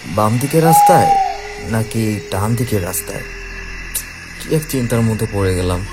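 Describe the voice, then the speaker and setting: A man's voice: lowish voice, normal speed, lightly acted. A man voicing an anxious traveller in a horror cartoon, dramatic and full of exclaimed questions. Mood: uneasy, puzzled. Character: anxious male traveller lost at night in horror tale. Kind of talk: animated horror cartoon dialogue. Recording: loud music behind.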